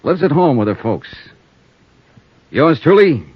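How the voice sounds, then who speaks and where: A man's voice: fairly high pitch, very animated, a little slow, breathy edge, dry recording, exaggerated voice. An actor playing a hard-boiled male insurance investigator in a 1950s radio drama, questioning coolly and narrating with a world-weary edge. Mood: wry. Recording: muffled 1950s radio transcription.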